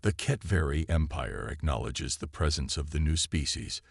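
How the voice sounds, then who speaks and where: A man's voice: extremely low pitch, normal speed, vocal fry, slightly rough, no echo, clean studio sound, full character voice. A man voicing an aggressive, arrogant reptilian alien supreme commander in a sci-fi audiobook, with haughty proclamations. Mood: haughty, dismissive.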